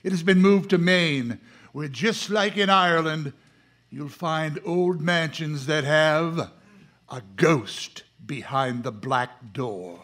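Slow, forceful male horror-show announcer. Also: a little high. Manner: theatrical, mock-ominous. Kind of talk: old-time radio horror announcing. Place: lecture hall stage before live audience. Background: music.

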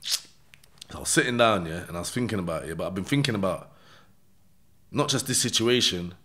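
Male podcast guest, London accent, low voice, street slang, casual first-person storyteller who keeps checking the listener follows. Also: lively intonation, brisk, fairly bright tone. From London, England. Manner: streetwise slang, repeated check-in tags like "yeah?" and "innit". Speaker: podcast guest telling his life story.

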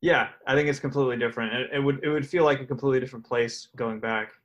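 A young male host chats loosely, his questions strung together with fillers. His voice sits at an ordinary middle pitch. The tone is friendly and unpolished. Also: steady tone, rapid-fire, fairly bright tone, lo-fi sound. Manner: easygoing, filler-heavy questions. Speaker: podcast host. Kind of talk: casual interview.

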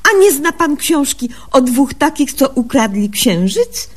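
Naive young village doctor for radio comedy: high-pitched, unhurried, self-assured, theatrically learned, folksy grammar. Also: female voice, very animated. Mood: confidently explaining. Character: naive, self-assured young rural woman doctor recounting her cases. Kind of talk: comic radio sketch acting and narration.

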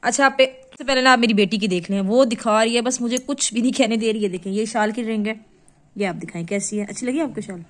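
A woman's voice: a little high, fast. A young mother from Pakistan, showing her purchases on camera at home and coaxing her children as she goes. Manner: lively, coaxing, talks to her children while filming. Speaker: vlogger and mother. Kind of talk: casual vlog show-and-tell. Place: home room indoors. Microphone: handheld phone camera.

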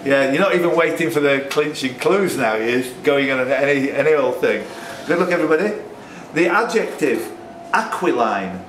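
Older quizmaster, lively pitch swings. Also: male voice, moderate pace, hardly any pauses, background noise. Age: older, around 60s. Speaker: quiz master. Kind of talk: reading quiz questions with banter. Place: pub, indoors. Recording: echoey pub, distant mic, music behind.

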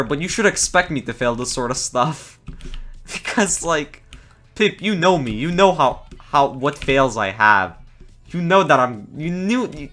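A young man casually commentating his own game stream, excitable, laughing often and groaning at his fails. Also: mid-range voice. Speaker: gaming streamer.

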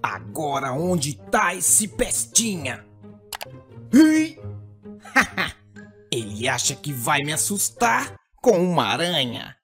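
A woman's voice: low-pitched, big pitch swings, takes it slowly, music in the background, heavily acted. A woman voicing a small, scheming, boastful evil boy doll in a children's cartoon, with a menacing, taunting manner. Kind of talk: children's cartoon voice acting in Portuguese.

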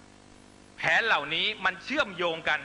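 Guy doing a fiery political speech, really sharp piercing high voice, accusing, keeps throwing questions, bit of noise on it. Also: big pitch swings, measured pace, rough recording. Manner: accusatory, emphatic, punctuated with repeated rhetorical questions. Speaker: political rally speaker.

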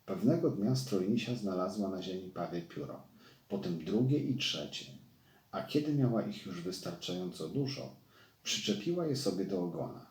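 A man's voice: sits low, expressive, moderate pace, darkish tone. A man reading a children's fairy tale as a scripted storyteller. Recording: roomy echo.

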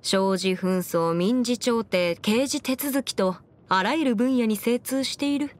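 A woman's voice: on the high side, unhurried, natural voice. A woman voicing a confident, bookish young female legal expert in a video game, speaking in a self-assured, formal, lecturing way. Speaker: video game character voice actor. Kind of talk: scripted anime-style game character monologue.

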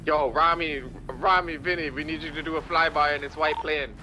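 A man's voice: on the high side, steady tone, brisk. A man chatting casually with teammates in an online game, swearing as he goes. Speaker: gamer in online roleplay. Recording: noisy game voice chat, vehicle noise.

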